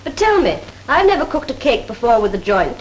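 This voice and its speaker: Casting: actress as genteel British housewife, very high voice, 1930s RP, polite and eager, stagey film dialogue with clear soundtrack noise. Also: female voice, big pitch swings, a little fast, low-quality recording. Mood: chatty, polite. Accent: 1930s British Received Pronunciation. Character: eager, agreeable young housewife and mother. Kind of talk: scripted 1930s instructional film acting.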